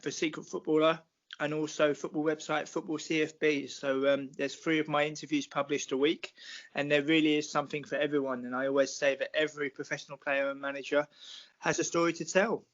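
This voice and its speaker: A British man in his forties, a podcast guest talking football at length in a measured, explanatory way with many fillers. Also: a little high, fairly bright tone, dull audio. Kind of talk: casual podcast chat.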